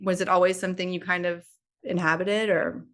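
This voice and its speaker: A woman hosting, asking curious, gently probing questions over a video call. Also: fairly low pitch, flat delivery, brisk. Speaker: host. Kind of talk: interview questions.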